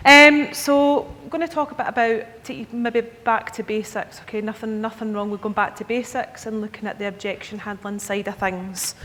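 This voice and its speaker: Scottish woman presenting in an echoey hall. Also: fairly bright tone. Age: 30s. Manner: chatty, checks in with the audience with "okay?". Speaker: key account manager presenting to advisers. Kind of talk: conference presentation. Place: conference hall. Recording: echoey hall with faint rumble.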